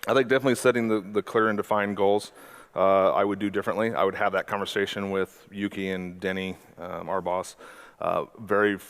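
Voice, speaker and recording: A man's voice: low-pitched, flat delivery, a little fast, fairly bright tone, clean tone. A man in his forties giving a conference talk as a mentor, conversational and often correcting himself mid-sentence. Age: 40s. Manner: conversational, self-correcting presenter with frequent "uh".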